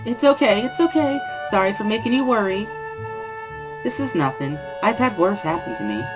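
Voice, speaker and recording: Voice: mid-range voice, very animated, moderate pace, over loud music, voice pushed up, lightly acted. A performer voicing a jittery, over-apologetic young woman librarian in a dramatic reading, flustered and rambling. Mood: frantic, apologetic.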